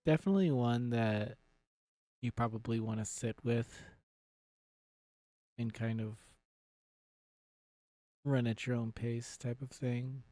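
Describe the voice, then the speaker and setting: A man's voice: mid-range voice, darkish tone, no room sound. A man giving casual, laid-back let's play commentary as he streams a video game.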